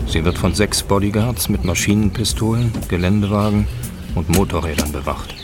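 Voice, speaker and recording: A man reading scripted, scene-setting narration for a documentary radio drama in a measured, descriptive way. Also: on the low side, over loud music.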